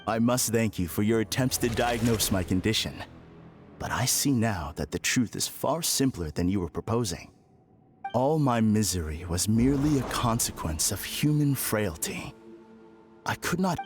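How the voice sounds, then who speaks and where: A man's voice: lowish voice, expressive, normal speed, soft background music, close to an everyday voice. An actor playing a politely grandiose, deluded man in a video game, formal and theatrical in manner. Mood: bitter. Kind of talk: dramatic video game voice acting.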